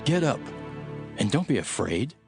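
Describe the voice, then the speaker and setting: An actor playing an authoritative, earnest holy teacher in a dramatised scripture recording. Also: male voice, mid-range voice, big pitch swings, normal speed, gravelly. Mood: calm, instructing. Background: music.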